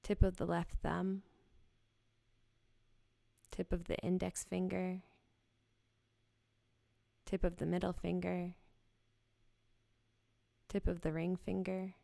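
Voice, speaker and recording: A woman guiding a yoga nidra body scan in a gentle, soothing, unhurried manner with short, spaced phrases. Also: fairly low pitch, level tone, vocal fry, no room sound. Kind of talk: guided meditation, slow body scan.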